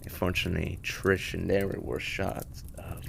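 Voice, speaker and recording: A performer voicing a casual, halting young hellhound in a fan comic dub. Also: low-pitched, flat delivery, creaky, fairly bright tone, a little hiss or hum, full character voice. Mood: casual storytelling.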